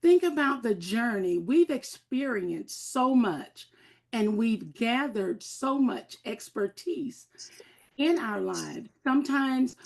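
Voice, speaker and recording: An older woman, a life transitions coach, speaking slowly and carefully on a panel. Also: on the high side, lively intonation, vocal fry. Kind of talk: panel discussion.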